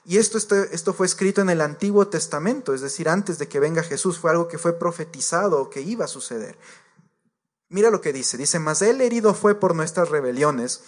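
A man's voice: a little high, expressive, fairly quick. A man preaching a sermon to a congregation, earnest and direct, repeating his points and addressing the listeners as believers.

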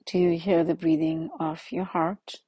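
A woman leading a guided meditation in a slow, soothing manner with long pauses. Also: fairly low pitch, level tone, mellow, husky, no room sound.

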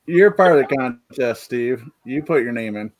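Halting male podcast host. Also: medium pitch, lively intonation. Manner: halting, many fillers, reads chat comments. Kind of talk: casual livestream chat. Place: home, rural.

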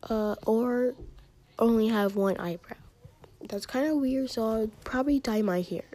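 A teenage girl with a high-pitched, mellow voice, chatting casually in a vlog. She takes her time and rambles a little. Her asides fade into soft near-whispers. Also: a little slow. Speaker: vlogger. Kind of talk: casual chat, reading out questions and answering them.

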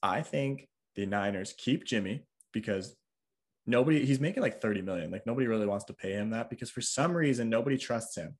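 A man co-hosting a casual sports podcast, opinionated and joking in quick back-and-forth. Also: medium pitch, expressive, darkish tone. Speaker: podcast co-host.

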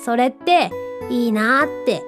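A woman voicing a friendly, contented talking cat who runs a drink stall in an audio drama, speaking gently and politely with a playful touch. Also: fairly high pitch, unhurried, over music, lightly acted. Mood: earnest, happy. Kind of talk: Japanese audio drama acting.

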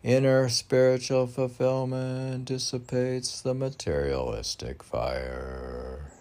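A man's voice: medium pitch, takes it slowly, a little mellow. A man informally reading poems and commenting on them in a rambling, conversational way, posing questions and answering them himself.

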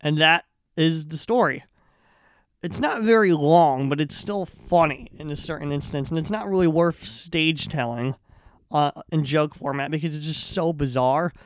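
Clear, higher-voiced man rambling on a podcast. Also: moderate pace, no room sound. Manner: rambling, stumbling storytelling. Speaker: podcast host and stand-up comedian. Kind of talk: casual solo podcast monologue.

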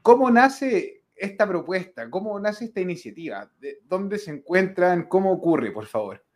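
Male host with a slightly high voice, introducing guests and asking earnest questions on a video call. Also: big pitch swings, normal speed. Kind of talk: interview hosting.

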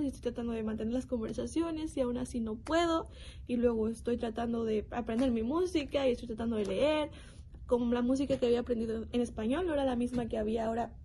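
A young woman from Mexico, sharing an earnest, reflective personal faith testimony with pauses and restarts. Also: a little high, warm tone, a little hiss or hum. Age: young adult. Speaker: youth program speaker.

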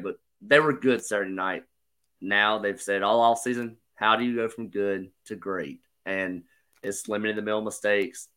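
A man co-hosting a sports podcast, slightly hoarse, talking through analysis in a measured way. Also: on the low side, sharp tone. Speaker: podcast co-host. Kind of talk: casual sports chat.

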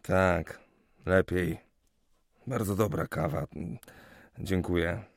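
An actor playing a bashful, lovestruck young geometer in a period radio drama, polite and prone to hesitant stammering. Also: male voice, sits low, steady tone, slow pace, vocal fry, dry recording, studio quality, heavily acted. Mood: downcast, weary. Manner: hesitant, stammering when flustered. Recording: music behind the voice.

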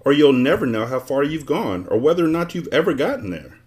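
A man voicing a pompous, excitable storybook creature with many faces, speaking in a precise, scolding, emphatic manner. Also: mid-range voice, a little fast, pitched above the performer's own voice, natural voice.

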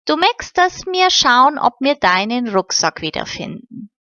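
A woman's voice: high voice, big pitch swings, a little slow, pushed and bright, no room sound, dull audio, heavily acted. A woman voicing a bossy, cautious boy who talks firmly.

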